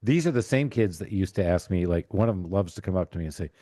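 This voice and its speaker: A man's voice: low voice, animated, quick pace, warm tone, no room sound, clean studio sound. A middle-aged man hosting a casual interview with jokey banter. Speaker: podcast host.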